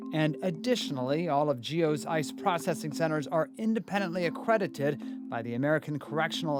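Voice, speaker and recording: A male news reporter narrating an investigative report in a measured, earnest way. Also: fairly high pitch.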